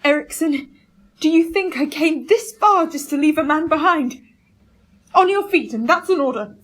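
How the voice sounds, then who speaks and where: A woman playing a starving, resolute male expedition captain in a radio play, reading out a journal in a clipped, solemn, halting way. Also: high voice, animated. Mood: commanding, urgent.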